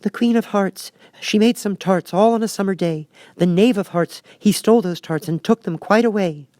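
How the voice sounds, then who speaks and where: Man putting on a high, fussy rabbit herald. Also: moderate pace, darkish tone, no room sound, clean studio sound, natural voice. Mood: proclaiming. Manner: urgent, proclaiming like a crier.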